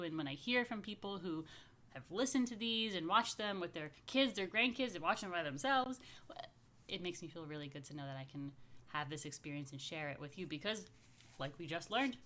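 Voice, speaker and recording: A woman's voice: lowish voice, a bit bright. Casual, playful woman rabbi chatting to families. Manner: playful, informal asides; addresses viewers directly with questions and invitations. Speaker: rabbi hosting family story time.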